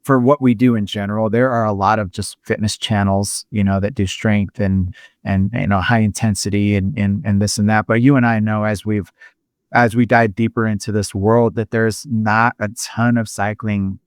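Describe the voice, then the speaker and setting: A man with a fairly low voice hosts a casual podcast chat. He talks haltingly, with many fillers and false starts. The sound is dry and close. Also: little pitch movement, clean tone, no room sound. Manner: halting, full of fillers and restarts. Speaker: podcast host.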